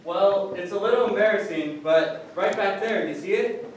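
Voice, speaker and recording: A man's voice: sits high, normal speed, close to an everyday voice. A young man on stage playing a sheepish, good-natured sitcom husband in an earnest, theatrical style. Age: young adult. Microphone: far camera. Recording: very echoey noisy theater, distant camera.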